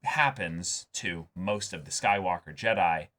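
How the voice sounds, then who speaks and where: A man's voice: low voice, a little slow, sharp tone. A man co-hosting a reaction show, talking casually and earnestly, often repeating and restarting phrases as he works through his thoughts. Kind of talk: casual discussion and commentary.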